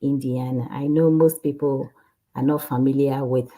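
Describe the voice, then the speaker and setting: Low, husky woman from Ghana. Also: moderate pace, low-quality recording. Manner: relaxed, laughs often, repeats words. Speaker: guest, cardiac sonographer. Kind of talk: casual interview.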